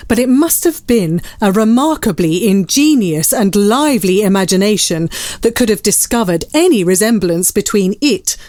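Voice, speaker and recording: A woman narrating a classic story in a measured, literary storytelling manner. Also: mid-range voice, lively intonation, darkish tone, no room sound.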